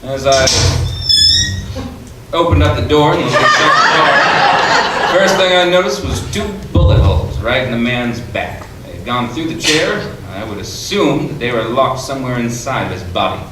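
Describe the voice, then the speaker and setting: A man playing a self-assured, wryly conceited 1940s New York private detective, narrating in old radio drama style with deliberate, hard-boiled storytelling. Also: medium pitch, sing-song, close to an everyday voice. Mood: brooding, self-satisfied. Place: improv theatre stage before an audience. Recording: echoey theatre stage, distant mic, noisy.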